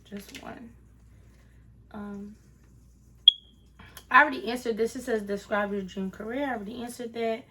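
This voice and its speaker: A chatty young woman in her early twenties answers questions straight to camera, tossing sassy asides at her viewers. Her voice sits a little high and comes across bright and forceful. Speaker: vlogger. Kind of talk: casual Q&A vlog.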